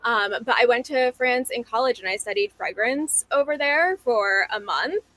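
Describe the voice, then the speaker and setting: Bright, chatty young woman. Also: on the high side, moderate pace, no echo, poor audio. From New Jersey. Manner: chatty, laughs often. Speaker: dating show contestant. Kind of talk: casual speed-date chat.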